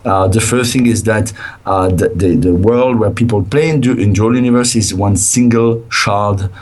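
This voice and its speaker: Middle-aged developer guest, low voice, worn out and hesitant. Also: male voice, normal speed, darkish tone. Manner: hesitant, many fillers and restarts. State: tired, nearly lost his voice. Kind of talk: casual interview over a call.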